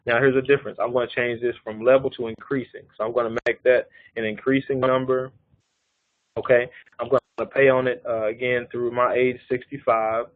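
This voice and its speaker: Thirties male presenter, mid-pitched, patient step-by-step explainer, conference-call phone sound. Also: little pitch movement, a little fast, no echo. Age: 30s. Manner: explains step by step, often checking in with "okay". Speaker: life insurance presenter. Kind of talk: live conference-call walkthrough. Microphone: phone or call line. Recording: narrow phone-line audio.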